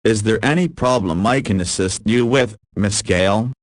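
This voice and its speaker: A man's voice: lowish voice, moderate pace, no echo, lightly acted. A man voicing a timid, eager young office assistant in a visual novel, speaking in a hesitant, deferential way. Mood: polite, eager.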